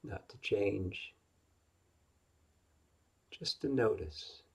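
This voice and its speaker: Slow, husky man guiding meditation. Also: lowish voice. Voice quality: husky, breathy, gravelly. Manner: gentle, unhurried, long pauses. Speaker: yoga nidra meditation teacher. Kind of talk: guided meditation.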